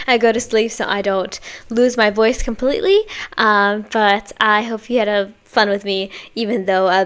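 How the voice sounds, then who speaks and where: A woman's voice: medium pitch, smooth. A young woman hosting a reaction video, sounding tired with a slightly worn voice, rambling casually with many fillers and restarts.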